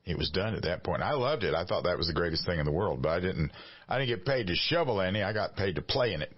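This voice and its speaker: A man's voice: sits low, lively intonation, fast, no room sound, dull audio. A man in his sixties from South Carolina, hosting a radio show and teasing his guest in easy, joking conversation. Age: 60s. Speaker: radio host. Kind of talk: casual radio chat.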